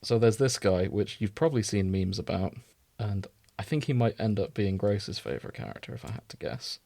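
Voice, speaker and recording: A man's voice: lowish voice, lively intonation, brisk, vocal fry, warm tone, no echo. A man co-hosting a podcast in casual banter, chatting informally and swearing now and then. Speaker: podcast co-host.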